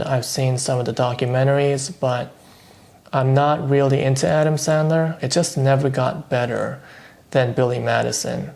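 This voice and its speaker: A man talking casually and thoughtfully on his own channel, giving low-key opinions with dry asides. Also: creaky, a little mellow. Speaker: vlogger reviewing streaming shows. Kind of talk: casual solo commentary.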